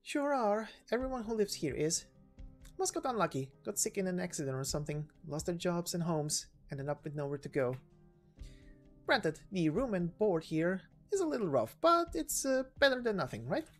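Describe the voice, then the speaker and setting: A woman's voice: lowish voice, very animated, moderate pace, soft background music. A woman voicing a chatty, cheerful young female knight from a game story, with a folksy, breezy, teasing manner. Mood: upbeat, casual.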